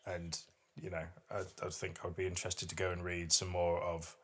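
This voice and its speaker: A man's voice: low-pitched, flat delivery, brisk, a little hiss or hum. A middle-aged man hosting a podcast, moving between casual, reflective chat and reading poetry aloud. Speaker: podcast host.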